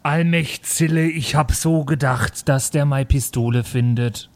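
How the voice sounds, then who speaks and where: A man playing a sheepish, defensive Bavarian with a drawn-out way of talking, in an improvised comedy audio drama. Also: on the high side, lightly acted. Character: slow, defensive Bavarian man carrying a pistol.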